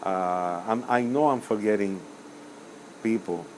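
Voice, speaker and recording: An older man from Cuba, a musician answering interview questions in a rambling, hesitant way with many pauses and self-corrections. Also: lowish voice, darkish tone, slight background noise, rough recording. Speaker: musician guest.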